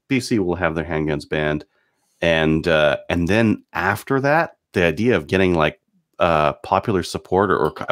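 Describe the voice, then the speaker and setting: A Canadian man with a deep voice talks on a casual podcast panel. He deliberates as he goes, in halting phrases, with a dry, close sound. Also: a bit bright, no room sound. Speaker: podcast co-host.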